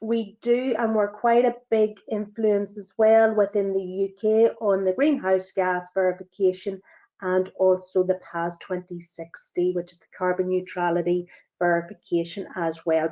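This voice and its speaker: A middle-aged woman with a Northern Irish accent presenting a business webinar, talking quickly with frequent fillers. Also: on the low side, little pitch movement. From Northern Ireland. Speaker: sustainability assessor and webinar presenter. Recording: dull, lo-fi webinar audio.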